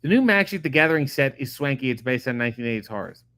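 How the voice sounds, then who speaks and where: A man's voice: mid-range voice, fast, no room sound. Sardonic man reading chat comments. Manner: profane, sardonic, deadpan asides. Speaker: comedian host reading viewer comments. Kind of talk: casual chat answering live chat questions.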